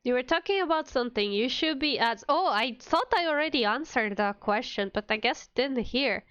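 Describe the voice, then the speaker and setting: A woman's voice: high-pitched, lively intonation, normal speed, fairly bright tone, smooth, no room sound, dull audio. A woman livestreamer chatting casually with viewers in a playful, mock-indignant, giggly manner. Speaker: streamer, VTuber.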